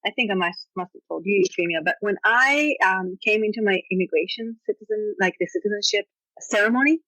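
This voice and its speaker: A woman's voice: medium pitch, brisk, dry recording, low-quality recording. A woman with an Israeli accent, a native Hebrew speaker, telling stories animatedly as a guest author on a casual podcast, peppered with "you know". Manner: animated storytelling with frequent "you know" and laughs.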